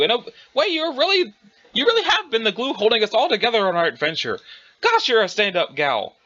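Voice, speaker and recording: Bumbling, self-absorbed young male adventurer played by a man, wordy and folksy, bright animated delivery for audio drama. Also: high voice, highs cut off, exaggerated voice. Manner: wordy, old-fashioned folksy exclamations, bumbling. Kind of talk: dramatized dialogue reading.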